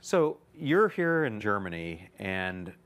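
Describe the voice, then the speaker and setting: A man's voice: on the low side, sing-song, slow pace, a bit bright. A man in his fifties hosting an interview, earnestly setting up his questions with context. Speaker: interview host.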